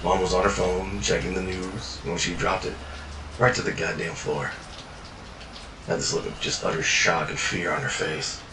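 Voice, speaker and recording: Male narrator, very low voice, weary storyteller who curses; roomy, distant sound with background noise. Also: level tone, moderate pace. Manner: weary, world-worn storytelling with frequent swearing. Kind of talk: first-person fiction journal narration. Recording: echoey room, distant mic, noisy.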